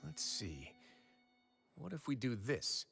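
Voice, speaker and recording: An actor playing a composed, authoritative duke investigating in a video game, speaking in a measured, analytical way. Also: male voice, medium pitch, sing-song, gravelly, a bit bright, heavily acted. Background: background music.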